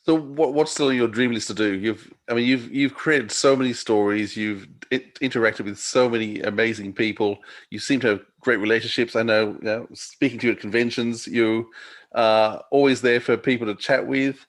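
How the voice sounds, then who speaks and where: A man's voice: medium pitch, fairly even intonation, normal speed. A man working as a podcast interviewer, putting questions to a guest in a casual, conversational style.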